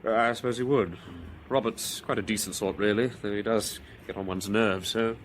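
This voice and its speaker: An actor playing a bitter, nervy war veteran gentleman in a radio drama, with an upper-class English accent and excitable public-school slang. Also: on the low side, moderate pace, slight background noise, close to an everyday voice. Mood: sardonic.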